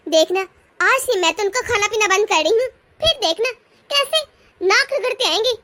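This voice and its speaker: A woman's voice: very high-pitched, animated, moderate pace, bright, forceful tone, exaggerated voice. A woman voicing a bold, defiant young daughter-in-law in a cartoon family drama. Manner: defiant, scolding.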